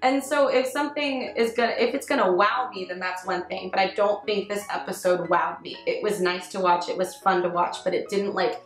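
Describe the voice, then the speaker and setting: A woman's voice: mid-range voice, fairly quick, few pauses. A woman co-hosting a casual review chat, talking informally and hedging as she goes. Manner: informal, hedging, frequent "like" and "I mean". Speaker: review show co-host. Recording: roomy echo with faint music.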